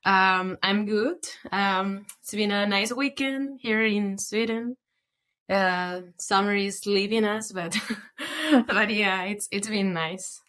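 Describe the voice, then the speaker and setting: A woman's voice: medium pitch, slow, a bit bright. A young woman from Peru, a podcast guest who tells her story in a chatty way, with many fillers. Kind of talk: conversational storytelling.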